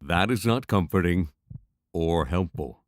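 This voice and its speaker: A man plays a worried, skeptical adventurer in a fantasy audio drama. The voice is low and slow, hesitant and fretful, with a very dry studio sound. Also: expressive, no echo.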